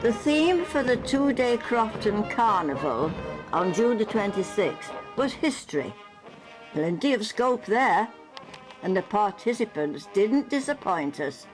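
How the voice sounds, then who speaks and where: Woman narrating over soft music. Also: medium pitch, sing-song, unhurried, rough recording. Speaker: newsreel narrator. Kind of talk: scripted narration with light wry humour.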